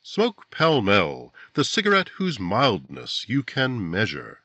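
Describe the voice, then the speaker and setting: An actor playing a polished, earnest 1950s radio announcer, delivering scripted copy in a formal, emphatic way. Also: male voice, fairly low pitch, huge pitch swings, slow, over loud music, full character voice. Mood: emphatic selling.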